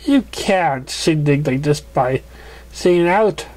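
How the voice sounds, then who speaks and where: A man's voice: fairly high pitch, lively intonation, slow pace, a little mellow, pitched above the performer's own voice. A man voicing a playful, brash female flying cartoon pony in a breezy, exclamatory way.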